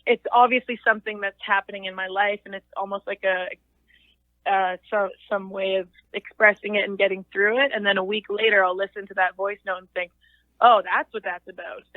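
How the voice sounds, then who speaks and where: A young woman with a naturally low voice talks through an interview, pausing and restarting as she thinks aloud. She comes through a tinny, phone-like line that sounds very dry and close. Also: no echo. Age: young adult. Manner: thoughtful, halting, many fillers and restarts. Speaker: singer-songwriter guest. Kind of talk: casual interview chat.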